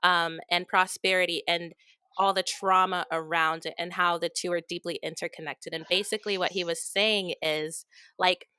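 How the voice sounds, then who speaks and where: A woman's voice: on the low side, pushed and bright, clear, resonant tone, no echo. A woman hosting a podcast, chatting in an effusive, gushing way with lots of "like" fillers. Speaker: podcast host. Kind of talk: casual interview chat.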